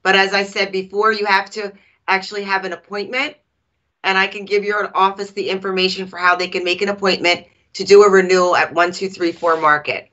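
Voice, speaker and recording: A woman's voice: medium pitch, level tone, brisk, pushed and bright. A middle-aged woman answering questions as a guest panelist, precise and formal, on a video call. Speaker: guest panelist from transit agency. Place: small office. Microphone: video call computer microphone. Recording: slightly dull video call audio.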